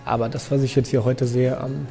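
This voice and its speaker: Man, 30s, mellow voice, hesitant casual talk, reverby studio, faint music. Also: mid-range voice, flat delivery, a little fast, creaky. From Germany. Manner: hesitant, many fillers. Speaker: guest. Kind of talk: casual interview chat. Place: photo studio with grey backdrop.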